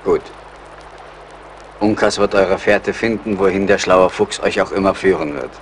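Stoic, low-voiced young warrior. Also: male voice, level tone, unhurried, rough recording. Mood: stoic, proud. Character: proud, loyal young male Mohican warrior. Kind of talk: German radio play acting. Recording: clear battle and outdoor noise behind.

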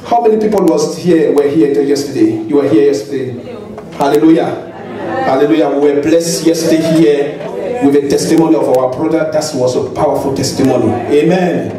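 High-voiced Cameroonian pastor in echoey hall. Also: male voice, fairly quick. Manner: animated, repeats hallelujah and amen often. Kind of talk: church address to congregation. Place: large church hall stage. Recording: echoey hall with crowd, from far off.

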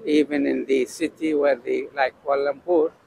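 An older man from Arabia, answering a street interview in a slow, halting way on a city street into a handheld mic. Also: mid-range voice, steady tone, vocal fry, a little mellow, slight background noise, poor audio. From Saudi Arabia. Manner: slow and halting, searching for words. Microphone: handheld mic held toward him.

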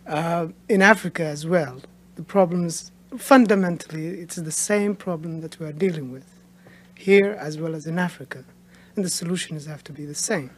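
Husky young man asking hesitantly. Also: on the high side, normal speed. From Somalia. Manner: hesitant, searching for words. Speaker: audience member asking a question. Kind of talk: unscripted question. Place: TV studio audience. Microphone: stand mic.

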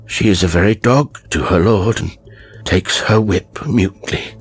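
A man's voice: low voice, a little slow, husky, soft background music. A man voicing a frightened, downcast old manservant in an anxious, pleading manner for a horror story. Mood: hushed, anxious.

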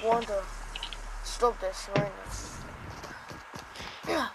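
A woman playing an exasperated, boastful male sorcerer hero in an improvised amateur game roleplay, speaking haltingly. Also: on the high side, lively intonation. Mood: agitated. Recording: noisy amateur game-capture audio.

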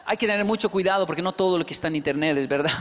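A Colombian man in his thirties giving a lively stage talk into a handheld microphone, telling stories and acting out the dialogue. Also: sits high, a little hiss or hum. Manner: lively, acts out dialogue with quick asides. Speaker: motivational speaker. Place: large indoor stage hall. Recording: long hall reverb.

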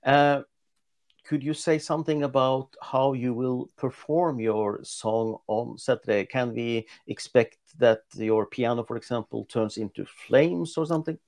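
A man's voice: mid-range voice, unhurried, darkish tone. A man interviewing a singer over a video call, asking questions with many hesitant fillers.

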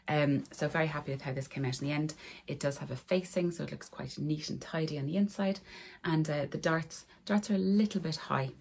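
A woman, hoarse from a cold, chatting casually to camera about her sewing in a small room. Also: low-pitched, a little mellow, slight background noise. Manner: friendly, rambling, talks to camera while showing garments. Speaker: sewing vlogger. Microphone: camera in front of her at desk.

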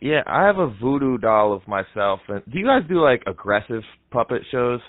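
A young man making a deadpan prank call in a casual, halting way, heard through muffled, narrow audio. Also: medium pitch, dry recording. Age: young adult. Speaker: prank caller, comedy show host. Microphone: headset microphone.

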